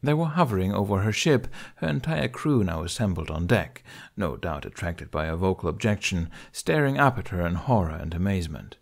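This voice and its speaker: A man reading fiction aloud as an audiobook narrator, with expressive scripted delivery. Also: low voice, fairly quick, vocal fry.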